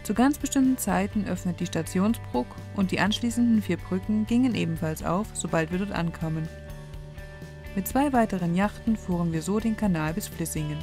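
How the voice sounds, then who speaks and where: A woman calmly narrating a sailing vlog in a scripted voice-over. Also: medium pitch, brisk, faint music behind.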